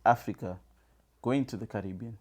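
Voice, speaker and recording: A Zimbabwean man co-hosting a casual chat, speaking hesitantly with many pauses and fillers. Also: lowish voice, darkish tone. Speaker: co-host.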